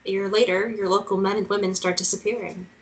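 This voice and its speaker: A woman's voice: medium pitch, little pitch movement, fast, lightly acted. A woman playing an earnest, nervous woman searching for a missing relative, reading hesitantly and naturally at a table read. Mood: earnest, insistent. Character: earnest, nervous young woman seeking her missing aunt.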